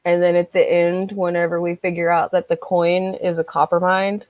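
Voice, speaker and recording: A woman in her thirties talks casually over a video call, with a slightly low voice and very little room sound. She is animated and likes to act out reactions as she goes. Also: moderate pace, no echo, dull audio. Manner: animated, acts out reactions and quotes. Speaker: co-host of a book discussion. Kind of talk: casual chat.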